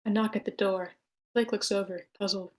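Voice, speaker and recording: A woman's voice: mid-range voice, steady tone, normal speed, darkish tone. A woman reading stage directions as the narrator of a screenplay table read.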